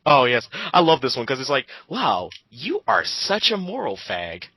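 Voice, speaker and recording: A man's voice: mid-range voice, huge pitch swings, moderate pace, gravelly, bright, forceful tone, breathy edge, dry recording. A man giving casual ranting commentary, profane, sarcastic and combative. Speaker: co-host commenting.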